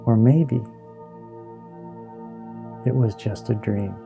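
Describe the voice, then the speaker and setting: A man leading a guided meditation in a slow, soothing, hypnotic manner, with long pauses between short phrases. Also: mid-range voice, gravelly. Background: ambient new-age music with singing bowls.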